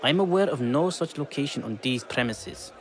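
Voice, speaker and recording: A man's voice: mid-range voice, expressive, moderate pace, close to an everyday voice. A man playing a dismissive, weary and corruptible clerk in a video game, with faint crowd noise behind.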